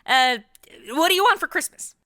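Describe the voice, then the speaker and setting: A woman's voice: very high voice, lively intonation, moderate pace, sharp tone, no room sound, clean studio sound, heavily acted. A woman with an American accent playing a brash, flippant superheroine, sarcastic and irreverent, in a comedy audio drama. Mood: breezy, sarcastic.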